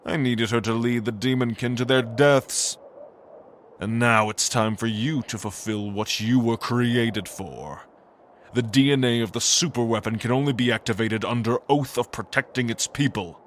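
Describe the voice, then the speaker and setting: A man's voice: mid-range voice, moderate pace, slight background noise, lightly acted. An actor playing a cold, manipulative angel lord and father in a fantasy audio drama, speaking in a grandiose, condescending way. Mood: smug, cold.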